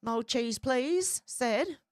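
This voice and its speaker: A woman's voice: high-pitched, expressive, drawn-out words, no room sound, studio quality. Irish-born woman reading and commenting on news. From Ireland. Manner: chatty, reacts aloud between readings.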